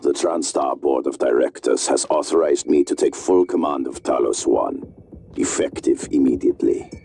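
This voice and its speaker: Hard military commander for a game: bottomless pitch, creaky, clipped, commanding. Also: male voice, moderate pace, mellow, a little hiss or hum. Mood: stern, authoritative. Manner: authoritative, clipped, formal. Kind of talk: broadcast announcement in video game.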